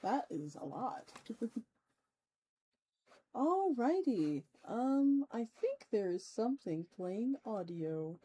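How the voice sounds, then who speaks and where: A woman hosting a livestream, chatting casually with her viewers in a playful way, with chatty asides and drawn-out emphasis. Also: a little high, very animated, husky. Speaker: VTuber streamer host. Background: soft ambient music.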